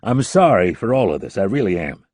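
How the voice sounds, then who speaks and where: A brash male operative, scornful and blunt, as a man reads him aloud. He barks his lines louder and a touch higher than plain narration. The sound is dry and close. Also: very animated, a little fast, no room sound. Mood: curt.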